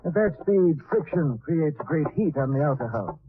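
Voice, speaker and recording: A man's voice: fairly high pitch, expressive, normal speed, slightly rough. A man playing a reassuring professor who explains things precisely to a young space traveler in an old radio drama. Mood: helpful, instructive. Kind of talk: 1940s radio drama acting. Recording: tinny old radio recording with hiss.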